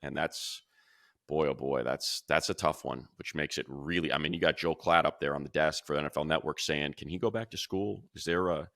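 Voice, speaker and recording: A man with a very low, gravelly voice and a sharp, bright edge talks football from a room at home. He rambles through restarts and grows animated when he compares players. The sound is dry and close. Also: a little fast, no room sound. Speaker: radio play-by-play announcer. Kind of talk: casual sports podcast chat.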